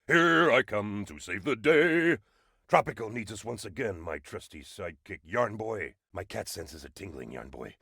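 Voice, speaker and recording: A cheesy cat superhero for a game, low pompous voice, super dramatic and grand, dry sound. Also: male voice, creaky, a bit bright, slightly rough, no room sound, heavily acted. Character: pompous heroic male cat-themed costumed superhero. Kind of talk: comic-book superhero parody.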